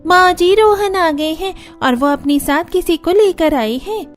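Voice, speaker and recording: A woman plays a young village sister-in-law with a strikingly high voice. She is practical and matter-of-fact in a Hindi cartoon drama. Soft music runs behind her. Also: measured pace. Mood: urgent. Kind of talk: Hindi cartoon story dialogue.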